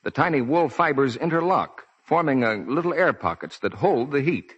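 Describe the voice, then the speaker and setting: Male American announcer, vintage 1940s radio; animated, polished, explanatory, slightly muddy and husky recording. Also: fairly high pitch, moderate pace. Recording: dull 1940s radio broadcast.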